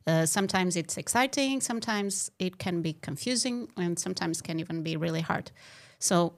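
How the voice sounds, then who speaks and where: A woman with a low, gravelly voice co-hosts a casual podcast. She asks questions haltingly, with frequent "uh"s. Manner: halting, with many "uh"s while asking.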